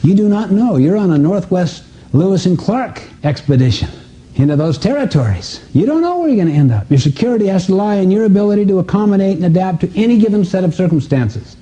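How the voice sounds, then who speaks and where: A man's voice: high voice, very animated, fast talker, dark tone. A man giving a motivational seminar talk to a live audience, emphatic, with rhetorical questions and repetition. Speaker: seminar speaker.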